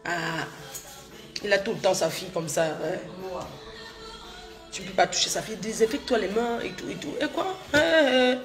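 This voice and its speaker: A woman's voice: mid-range voice, normal speed, long pauses, over music. Chatty grandmother with a cold. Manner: chatty, proud, replies straight to viewer comments. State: has a cold. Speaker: social media personality answering viewers. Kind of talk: casual live-stream chat.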